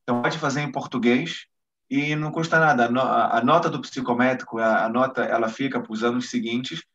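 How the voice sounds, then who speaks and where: A man's voice: medium pitch, steady tone, fairly quick, fairly bright tone. A man from Brazil, a guest in an interview, explaining things hesitantly with many restarts and fillers. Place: lives in Israel. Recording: muffled lo-fi stream audio.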